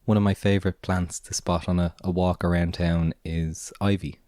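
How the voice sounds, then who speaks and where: A man with an Irish accent hosting a podcast, raspy and hoarse from a cold, talking in a relaxed, meandering way with lots of filler words. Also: sits low, normal speed, dry recording, clean studio sound. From Ireland. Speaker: podcast host and gardener. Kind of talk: casual spoken monologue.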